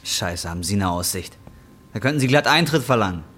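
A man's voice: fairly low pitch, expressive, brisk, a bit bright. An actor playing a bitter, defiant, broke injured roofer in his twenties, in a German radio drama. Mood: sullen, provoking. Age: 20s. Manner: embittered, colloquial, confrontational. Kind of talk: German radio drama acting and brooding first-person narration.

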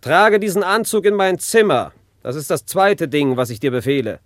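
A man's voice: a little high, animated, normal speed, no room sound, clean studio sound, lightly acted. An actor playing a spiteful, vengeful nobleman in a classical radio drama, gloating, menacing and commanding. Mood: excited, commanding. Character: spiteful, vengeful nobleman obsessed with cruel revenge.

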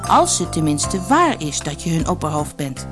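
A woman's voice: sits low, sing-song, moderate pace, music in the background. A woman voicing an eager, commanding young boy in a storybook tale. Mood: doubtful. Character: young village boy, hopeful, commanding a magic ring. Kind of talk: storybook character voice.

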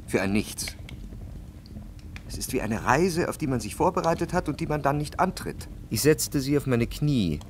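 An actor playing a wealthy, brooding man in love who narrates his memories in a measured, reflective way for a radio play. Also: mid-range voice, expressive, a little hiss or hum, natural voice. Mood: earnest, persuading. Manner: measured, reflective, deliberate phrasing. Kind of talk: literary radio drama narration.

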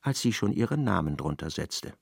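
Low, gravelly male narrator. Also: sing-song, moderate pace, darkish tone, dry recording, clean studio sound. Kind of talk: literary radio drama narration.

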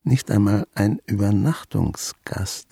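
A man's voice: lowish voice, takes it slowly, vocal fry, warm tone, breathy edge, no echo, clean studio sound, full character voice. An actor playing a reclusive, awkward old grandfather in a German radio drama, hesitant and reflective with a wry edge. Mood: brooding. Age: 60s or older. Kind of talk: German radio drama acting, dialogue and inner monologue.

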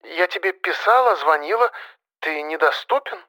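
A man voicing a high, plaintive elderly fretting mother. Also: lively intonation, normal speed, sharp tone, low-quality recording. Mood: tender, wary. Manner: plaintive, lecturing, sighing.

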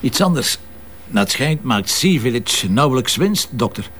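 An actor playing a reserved, probing police commissioner in a radio drama, with a Flemish accent and a deadpan, measured way of questioning. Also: male voice, medium pitch, breathy edge. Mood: dry, curious.

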